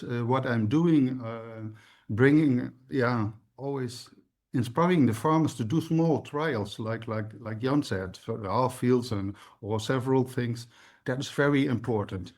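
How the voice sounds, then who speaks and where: Dutch-accented man, slow searching English, many fillers, mid-range voice. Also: mid-range voice, a little mellow. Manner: hesitant, searching for English words. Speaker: regenerative dairy coach. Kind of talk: panel discussion.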